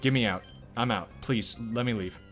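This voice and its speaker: A man streaming a video game, chatting loosely and swearing often as he answers his viewers. His voice is bright and forward, with faint game music under it. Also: mid-range voice, moderate pace. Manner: profane, rambling, reacting to chat. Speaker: let's play streamer. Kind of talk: casual gameplay commentary.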